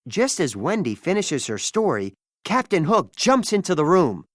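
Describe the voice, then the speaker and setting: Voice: medium pitch, very animated, moderate pace, no room sound, clean studio sound. A storybook narrator reading a scripted children's tale expressively, acting out the dialogue.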